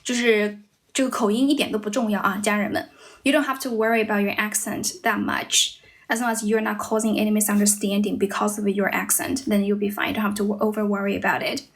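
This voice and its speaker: A woman's voice: mid-range voice, level tone, quick pace. A young woman from China with a Chinese accent in English, chatting casually on a livestream as an English teacher and thanking viewers as she goes. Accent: Chinese, mixed with American and British.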